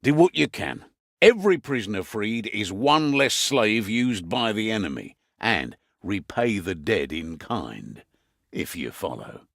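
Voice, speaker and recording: A man playing a gruff, wry mercenary quartermaster in a video game, briefing his fighters with earthy, commanding storytelling and dark humour. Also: on the low side, big pitch swings, measured pace, dry recording, studio quality, full character voice. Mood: grim, determined.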